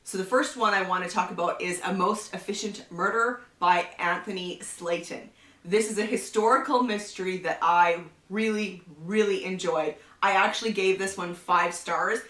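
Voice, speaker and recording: A woman with a cold hosting a casual book review, chatty, often laughing and clearing her throat. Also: lowish voice, a bit bright. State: has a cold. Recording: roomy echo, somewhat far from the mic.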